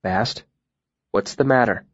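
A man voicing a calm male innkeeper who speaks in a measured, gentle, soothing way. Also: lowish voice, breathy edge, no echo, highs cut off.

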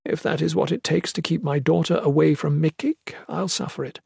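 A man's voice: fairly high pitch, fairly even intonation, fairly quick, gravelly, a little mellow, breathy edge, dry recording, clean studio sound, voice pushed up, exaggerated voice. Resolute, determined mother in a fantasy audiobook man reading. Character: determined, self-sacrificing mother, a resolute woman.

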